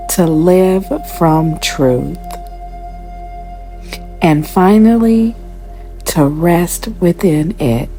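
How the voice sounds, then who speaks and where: A woman narrates a guided meditation at an extremely slow pace, leaving space between short phrases. Her voice is mellow and slightly breathy, at a medium pitch. She speaks gently and deliberately, with faint music behind her. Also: low-quality recording.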